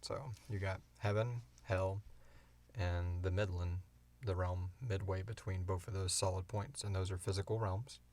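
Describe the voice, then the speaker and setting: A man co-hosting a podcast, answering questions in casual, informal, halting talk. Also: deep voice, steady tone, darkish tone. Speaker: podcast co-host and show creator. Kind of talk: casual Q&A chat.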